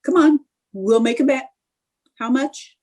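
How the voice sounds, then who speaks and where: A woman's voice: sits high, unhurried, mellow. A woman playing a scheming, stubborn, stagestruck housewife in old-time radio comedy, coaxing and indignant in a theatrical way. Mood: defiant. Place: remote video-call production.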